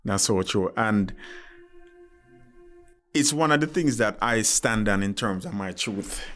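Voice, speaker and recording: A man's voice: fairly low pitch, measured pace, fairly bright tone. A man hosting a podcast interview, talking reflectively and earnestly and often giving short acknowledgements while his guest speaks. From Jamaica. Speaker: podcast host. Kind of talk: interview conversation.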